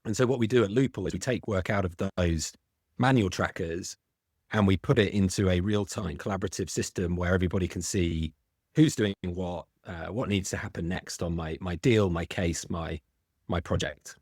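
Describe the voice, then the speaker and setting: A man's voice: sits low, moderate pace, darkish tone, slightly rough, no room sound, clean studio sound. A middle-aged man with a British accent, a podcast guest who explains at length, often restarting on small words. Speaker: guest, legal tech founder. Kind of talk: podcast interview.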